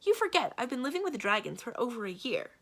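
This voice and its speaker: Headstrong young storybook princess, lively and brisk woman reading. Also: a little high, pitched above the performer's own voice, heavily acted. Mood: impatient, snappy. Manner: brisk, retorting, self-assured. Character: headstrong, capable young princess, impatient and practical. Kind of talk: storybook read-aloud.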